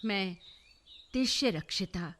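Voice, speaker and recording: A woman's voice: medium pitch, expressive, slow, a bit bright, a little hiss or hum. An actress playing a modest young woman physician in a Hindi historical radio drama, deferential and hesitant.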